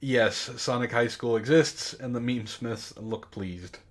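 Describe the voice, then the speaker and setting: A man's voice: mid-range voice, unhurried. A man hosting a casual comedic book club podcast, bantering in a mock-exasperated way.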